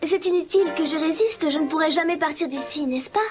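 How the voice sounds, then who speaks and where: A woman voices a young cartoon princess with a very high, girlish pitch. She is spirited and emotive, with music playing clearly behind her. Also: quick pace, close to an everyday voice. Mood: coaxing, feigned meekness. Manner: emotive, expressive. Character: young spirited princess, defiant then coaxing. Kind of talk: dubbed children's cartoon acting.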